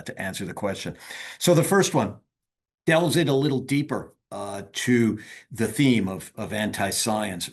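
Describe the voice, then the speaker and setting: Husky low-voiced man moderating a panel. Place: virtual panel over video call.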